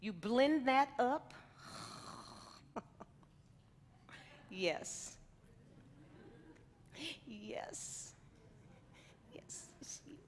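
Lively high-voiced woman chef teasing her audience. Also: drawn-out words, pauses often, noisy background. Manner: playful, teasing, chatty with the crowd. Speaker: chef giving a cooking demo. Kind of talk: live cooking demonstration to an audience. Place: large indoor hall with a stage set. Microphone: headset mic. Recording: long reverb of a big hall.

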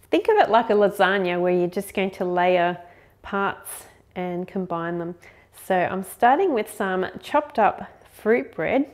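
A woman's voice: mid-range voice, a little mellow. A woman in her thirties hosting a cooking show, chatting upbeat and explaining each step in her home kitchen. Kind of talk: friendly recipe demonstration.

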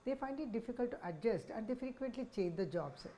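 A woman in her sixties with an Indian accent, speaking as a senior psychiatrist in a measured, explanatory talk to camera. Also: fairly high pitch, lively intonation, dark tone, a little hiss or hum. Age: 60s. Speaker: senior child psychiatrist, head of department. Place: small office, indoors. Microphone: lapel microphone.

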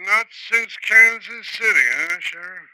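A vain, sharp-voiced frontier governor. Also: male voice, high-pitched, measured pace, clear, resonant tone, full character voice, low-quality recording. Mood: expansive. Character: vain, self-satisfied, scheming 19th-century Missouri governor. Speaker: actor in a radio drama. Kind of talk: 1950s radio acting. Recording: vintage 1950s radio recording, dry studio.

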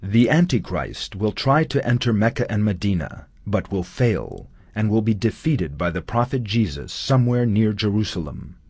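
A man narrating a scripted documentary in a measured, solemn studio voice-over. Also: fairly low pitch, big pitch swings, darkish tone, husky, no echo.

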